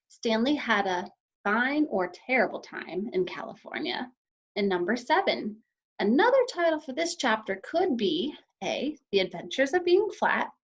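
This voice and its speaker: A woman teacher reading a children's story aloud and explaining it to young pupils in an expressive way. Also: on the high side. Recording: slightly dull, lo-fi sound.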